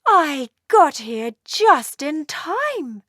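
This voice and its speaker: A woman voicing a doting, gentle mother dragon in a children's storybook, tender and motherly. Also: high voice, very animated, extremely slow, dry recording, studio quality, pitched above the performer's own voice, exaggerated voice. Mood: relieved, scolding. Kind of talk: children's storybook read aloud.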